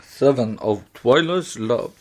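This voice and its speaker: A man whose first language is Swedish chats as a podcast co-host. His delivery is slow and halting, with unidiomatic non-native English, in a mid-range voice. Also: mid-range voice, animated. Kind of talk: casual chat.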